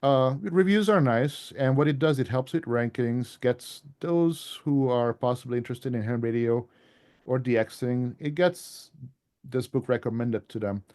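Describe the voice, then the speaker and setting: A man's voice: mid-range voice, measured pace, a little mellow. A man giving an informal presentation to a club as an author, talking in a conversational, rambling way with asides.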